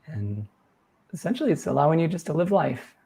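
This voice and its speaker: A man hosting a live-stream chat, his voice worn and fading as he loses it. His tone is dark and mellow, and he talks through questions in an earnest, coaching manner. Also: on the high side, very animated, fairly quick, vocal fry, slightly rough. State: losing his voice. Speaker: host reading viewer questions.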